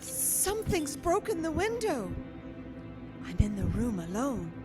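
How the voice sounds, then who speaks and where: Frail, frightened young gothic heroine in radio horror, drawn-out melodramatic lines over heavy music. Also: female voice, high-pitched, very animated. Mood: frightened, fading. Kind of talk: old-time radio horror drama acting. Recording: live radio play under loud music.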